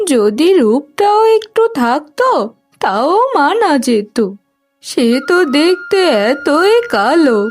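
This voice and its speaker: A woman's voice: high-pitched, darkish tone, full character voice. A woman voicing a harsh, scornful mother-in-law. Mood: scheming. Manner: cold, threatening, slow. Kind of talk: Bengali cartoon moral story dubbing.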